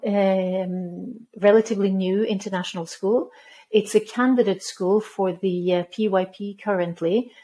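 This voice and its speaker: A woman from Norway in her fifties speaks with a mellow, rather even voice. She answers interview questions at a measured pace, pausing on ums and restarting phrases. Her tone stays level. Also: mid-range voice. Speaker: head of school, guest.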